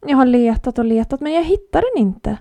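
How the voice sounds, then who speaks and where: A worried little girl in a storybook, voiced by a woman, speaking a bit higher and louder than the narration. Her tone is mellow with some gravel, recorded dry and close. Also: sing-song, dry recording.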